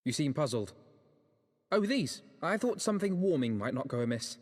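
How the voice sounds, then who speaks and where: A weary, reflective adventurer from a video game, speaking in a husky, medium-pitched voice. The delivery is measured and sombre, carried in a long reverb. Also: male voice, sing-song. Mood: wistful, sombre. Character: weary, reflective adult male adventurer, quietly grieving. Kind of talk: dramatic game voice acting.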